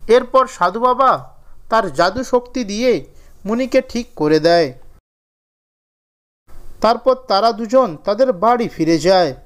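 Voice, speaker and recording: A male narrator with a high-pitched voice reads a scripted children's story. He delivers it as storytelling narration. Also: animated, takes it slowly, long pauses, a bit bright.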